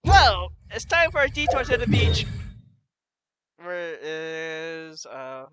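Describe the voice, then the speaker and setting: A man's voice: sits high, slow pace. A man giving informal gameplay commentary, often muttering to himself as he plays. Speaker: gamer, video host. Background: loud game music.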